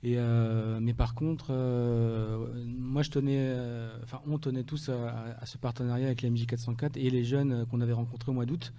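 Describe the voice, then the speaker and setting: A man with a very dark, mellow voice at a middle pitch. He speaks haltingly, with many restarts, as a guest on a radio show. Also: flat delivery. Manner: hesitant, many fillers and restarts. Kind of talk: radio interview.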